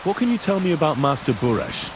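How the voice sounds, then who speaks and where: A man's voice: on the high side, huge pitch swings, quick pace, background noise. An actor playing a polite young medieval man questioning workers, in video game dialogue. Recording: game audio with rain.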